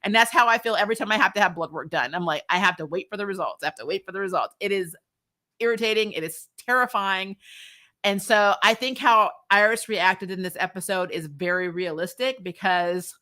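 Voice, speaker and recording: Woman, bright forceful tone, medium pitch, recap host, casual reviewing, chatty and slangy. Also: a little fast. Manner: chatty, slangy, punctuated with laughter.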